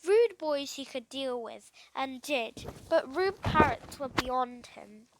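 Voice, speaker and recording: A woman reading a children's adventure story aloud in an expressive way, as an audiobook reader. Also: high-pitched, takes it slowly, noisy background.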